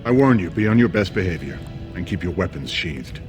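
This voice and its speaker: A man's voice: sits low, lively intonation, brisk. An actor playing a brusque, wary dwarf henchman with a curt, sardonic manner in a fantasy game. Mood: warning. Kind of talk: fantasy video game acting. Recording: music clearly behind dialogue.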